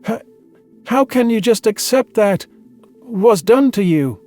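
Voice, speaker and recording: A man's voice: sits high, lively intonation, a little mellow, faint music behind. A man voicing a frightened, distrustful male alien, a former exterminator, who stammers and stumbles over his words. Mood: anxious. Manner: stammering, halting. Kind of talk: audiobook character voice.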